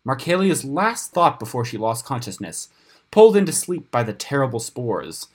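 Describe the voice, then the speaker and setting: A man reading a fantasy tale aloud in a dramatic storytelling style, with a husky voice. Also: mid-range voice, big pitch swings, moderate pace. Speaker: story reader on a podcast.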